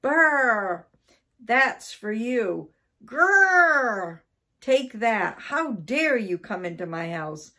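A woman voicing a grumpy, blustering husband in a children's storybook, with gruff, emphatic delivery. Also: medium pitch, animated, slow pace, a bit bright, full character voice. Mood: enraged.

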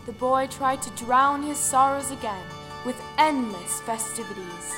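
Measured high female storyteller. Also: pushed and bright. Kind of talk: scripted folktale narration in an audioplay. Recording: music under the voice. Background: music.

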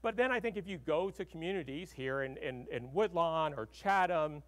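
A man's voice: a little high, expressive, moderate pace. Chatty man giving a keynote. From Arkansas. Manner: conversational, many fillers and "right?" tags. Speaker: keynote speaker. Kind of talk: conference keynote lecture. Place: large conference hall with audience.

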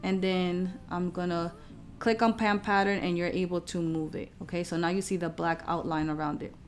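A woman hosting a craft software tutorial, explaining each step in an informal, casual way. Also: on the low side, fairly even intonation, normal speed, faint music behind.

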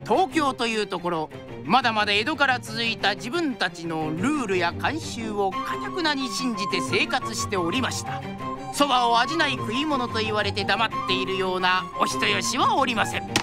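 Female narrator for a radio drama: formal, polite storytelling, expressive pitch, music underneath.

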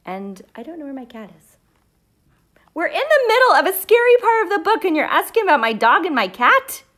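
A woman in her thirties reading a storybook aloud live to children, playfully chatting to them in expressive asides. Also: extremely high pitch, brisk. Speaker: teacher reading aloud to pupils. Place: living room at home, indoors.

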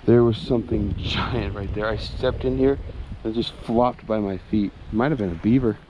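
A young man hosting a fishing vlog, chatting excitedly as he fishes a snowy mountain creek on an action camera. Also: lowish voice. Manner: chatty, excitable running commentary. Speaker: fishing channel host. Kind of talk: casual vlog narration while fishing. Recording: clear outdoor stream noise behind. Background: rushing stream water.

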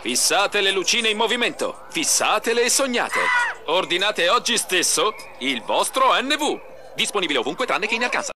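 High, brash commercial announcer. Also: male voice, very animated, normal speed, background noise. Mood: hard-selling. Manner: hard-selling.

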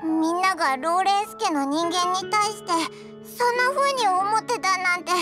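Extremely high squeaky little fairy sidekick. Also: female voice, normal speed, loud music behind the voice. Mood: apologetic, concerned. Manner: squeaky, cute, blunt and expressive. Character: tiny childlike floating fairy companion, earnest, excitable, boyish speech. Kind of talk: Japanese anime game voice acting.

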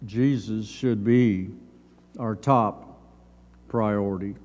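An older man in his seventies giving a Sunday school Bible lesson, reading from notes in measured, halting short phrases. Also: lowish voice, fairly even intonation, a little mellow, highs cut off. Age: older, around 70s. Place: church sanctuary, at a lectern. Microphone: gooseneck lectern microphone. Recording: long hall reverb.

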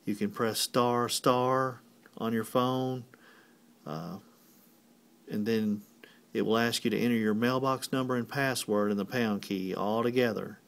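Man, mid-pitched voice, technician tutorial, plain methodical delivery, step-by-step instructions. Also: measured pace, vocal fry, fairly bright tone.

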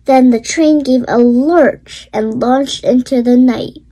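A child reading a rhyming children's storybook aloud expressively, in deliberate short phrases. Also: high voice, mellow. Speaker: storybook reader.

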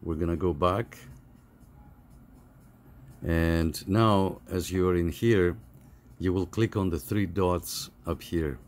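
Deep voiced middle aged guy walking thru an app step by step, kinda halting, bit of noise behind him. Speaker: tutorial host. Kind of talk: casual step-by-step phone app tutorial. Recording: clear background noise.

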